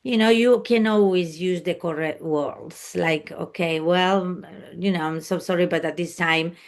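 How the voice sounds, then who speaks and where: A woman's voice: lowish voice, measured pace. A woman from Spain with a Spanish accent, a native Spanish speaker, answering as an interview guest in an explanatory, conversational way. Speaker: interview guest, luxury service professional.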